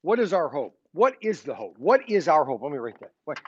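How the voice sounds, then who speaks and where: A man's voice: a little high, very animated, a little fast, muffled recording, a little hiss or hum. A man in his sixties teaching an informal Sunday school Bible lesson, rambling and often checking in with his listeners. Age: 60s. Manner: rambling, keeps checking in with "okay?".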